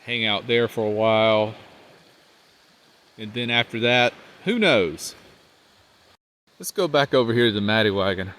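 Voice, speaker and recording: A man with a congested, nasal voice, talking casually to the camera outdoors as a van life vlogger, rambling and trailing off quietly. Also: fairly low pitch, a little slow, long pauses. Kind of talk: casual vlog talk while walking. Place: outdoors by a riverbank park.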